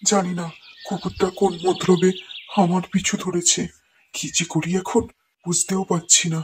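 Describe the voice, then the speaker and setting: A man's voice: sits high, level tone, normal speed, a little hiss or hum, close to an everyday voice. A man playing a timid old woodcutter husband. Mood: fearful. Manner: fretful, scared muttering to himself.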